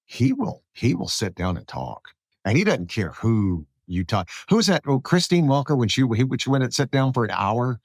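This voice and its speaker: Male interviewer, mid-range voice with animated intonation, casual, dry recording. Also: mid-range voice, normal speed, dry recording. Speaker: podcast host, interviewer. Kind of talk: casual chat.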